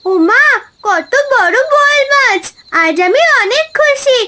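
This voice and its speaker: A woman voicing an excitable, pleading little village girl in a cartoon. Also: extremely high pitch, unhurried, a little hiss or hum, exaggerated voice. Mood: delighted. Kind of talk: cartoon voice acting.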